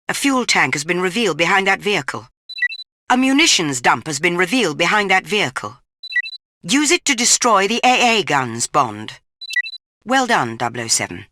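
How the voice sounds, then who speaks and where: An actress playing a stern, commanding older British intelligence chief in a video game, speaking in a formal, clipped, authoritative way. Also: female voice, on the low side, background noise. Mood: urgent, commanding. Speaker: intelligence chief giving mission orders. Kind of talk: video game mission briefing lines.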